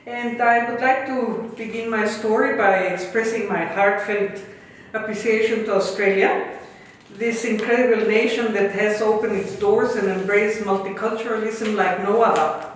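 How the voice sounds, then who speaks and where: A woman's voice: medium pitch, fairly even intonation, normal speed, slight background noise. A woman in her fifties from Guatemala with a Guatemalan Spanish accent, earnestly telling a prepared personal story in formal phrasing as an interview guest. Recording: echoey room.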